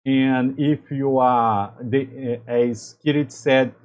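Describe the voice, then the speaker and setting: Brazilian-accented man, halting and mellow. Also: mid-range voice, steady tone. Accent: Brazilian Portuguese. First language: Portuguese. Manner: halting non-native phrasing with frequent restarts. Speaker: chemical process engineer and channel host. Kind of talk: talking-to-camera advice video.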